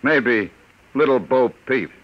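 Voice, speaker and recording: A man's voice: medium pitch, expressive, takes it slowly. A man playing a cold, sardonic gang boss who taunts and commands, in 1940s radio crime drama style. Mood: taunting menace. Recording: dull vintage radio recording.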